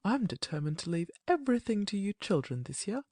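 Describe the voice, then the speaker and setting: A woman's voice: mid-range voice, sing-song, fairly quick, a little mellow, dry recording, studio quality. A woman voicing a gracious upper-class mother who speaks in a grand, affected way.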